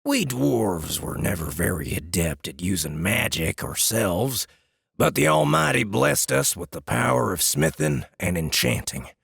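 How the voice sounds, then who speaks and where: Big booming dwarf smith, super gravelly n raspy, smug bragging, fantasy audiobook. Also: male voice, very animated, unhurried, fairly bright tone, no echo, clean studio sound, exaggerated voice. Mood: smug, proud. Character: boastful, hearty male dwarf smith with booming voice. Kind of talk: dramatized fantasy audiobook acting.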